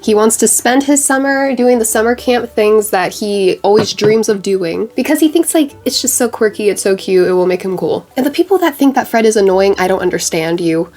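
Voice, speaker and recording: A woman's voice: medium pitch, expressive, brisk, few pauses, a little mellow. Chatty congested young woman vlogger. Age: young adult. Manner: chatty, bubbly, lots of "like" fillers. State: congested from a cold. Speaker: beauty vlogger. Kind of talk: casual chat while doing makeup.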